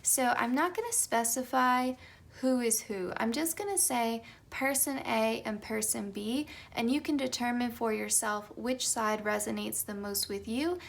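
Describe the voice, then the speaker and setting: Young woman with a raspy morning voice. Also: a little high, unhurried. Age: young adult. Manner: chatty, light laughs, soft whispered card calls. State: just woken up, slightly raspy. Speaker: tarot reader. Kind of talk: casual pick-a-card tarot reading.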